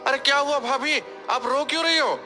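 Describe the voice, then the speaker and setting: A man's voice: very high-pitched, normal speed, pushed and bright, soft background music, exaggerated voice. A man voicing a startled, concerned villager in a cartoon story, exclaiming in alarm.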